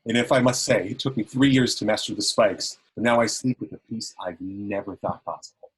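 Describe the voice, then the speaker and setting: A man's voice: on the low side, fairly quick, breathy edge, rough recording. A man playing a humble, earnest young alien escort in a formal, deferential way, in an amateur sci-fi table read. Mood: proudly earnest.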